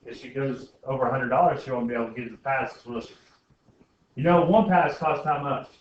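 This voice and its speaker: A man's voice: mid-range voice, steady tone, brisk. Man teaching in a very echoey room. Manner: informal, frequent checking questions. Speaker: algebra teacher. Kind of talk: classroom lesson, thinking aloud with questions to students. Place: indoor classroom. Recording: very echoey classroom, distant mic, muffled, faint noise.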